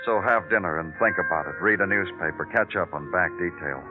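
A man's voice: low-pitched, brisk, over loud music, lightly acted. Man playing a terse hard-boiled detective, tinny vintage radio. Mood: methodical, brooding. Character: dry, dogged male police detective narrating his own case. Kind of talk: old-time radio detective drama acting. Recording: tinny old radio recording.

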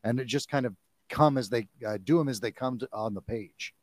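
A man in his forties, a guest actor in a casual chat, talking in an animated, jokey way. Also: medium pitch, no echo. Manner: animated and jokey, quick to laugh. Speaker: guest, actor and audiobook narrator.

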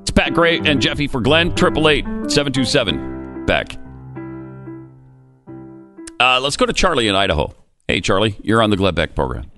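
A man's voice: medium pitch, big pitch swings, moderate pace, pauses often, pushed and bright, faint music behind. A man co-hosting a radio talk show, taking callers and chatting casually with a wry, laughing manner.

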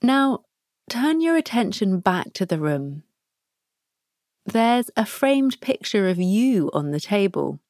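A woman hosting a podcast, leading listeners through a guided meditation with soft prompts and long pauses. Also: mid-range voice, no room sound, studio quality. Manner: slow, soft, pausing between prompts. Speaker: podcast host guiding a practice.

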